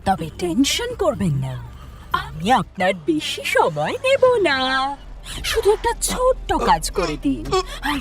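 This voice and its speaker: A woman's voice: sits high, huge pitch swings, measured pace, creaky, exaggerated voice. A woman playing a taunting, threatening hacker villain in a cartoon crime drama, with a mock-friendly, teasing menace. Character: taunting car-hacking villain making cold threats. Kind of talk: animated crime drama acting.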